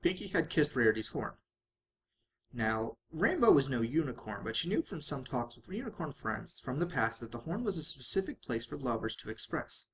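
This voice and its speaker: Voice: mid-range voice, very animated, moderate pace, a bit bright, rough recording. An amateur reader narrating fan fiction expressively, sometimes stumbling and correcting the wording along the way. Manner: stumbles and self-corrects while reading.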